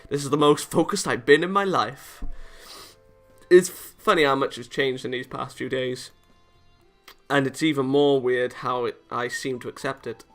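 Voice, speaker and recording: A man's voice: mid-range voice, steady tone, normal speed. A man casually reading a visual novel aloud, dropping wry interjections between the lines. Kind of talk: casual read-aloud commentary. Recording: music behind the voice. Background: game music.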